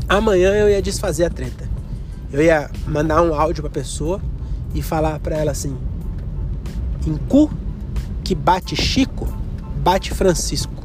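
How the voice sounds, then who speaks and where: A young man hosting a solo podcast, rambling and joking with crude slang, coughing from a cold. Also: high voice. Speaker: podcast host. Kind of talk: solo comedic podcast monologue.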